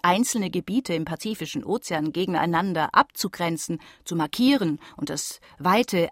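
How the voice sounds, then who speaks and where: A woman's voice: mid-range voice, no room sound, lightly acted. An actress as courteous learned woman botanist, dry close sound. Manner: formal, courteous, measured letter reading. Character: learned 18th-century French woman botanist writing courteous letters. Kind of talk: radio play letter recital.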